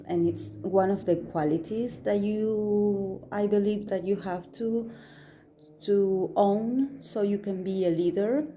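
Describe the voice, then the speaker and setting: A young woman, not a native English speaker, talks through a tarot reading at her own easy pace. Her voice sits a little low and sounds very warm and mellow. She pauses often, fills gaps with "uh" and "um," and circles back to restart her sentences as she thinks aloud. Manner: hesitant, many fillers and restarts, reflective. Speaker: tarot reader. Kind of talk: casual solo tarot reading.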